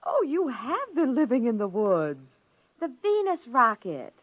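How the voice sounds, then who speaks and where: A woman playing a spirited wife and mother in 1950s radio drama, arch and teasing, gently scolding. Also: on the high side, wildly swooping pitch, a little slow, exaggerated voice. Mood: breezy. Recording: dull vintage 1950s radio recording.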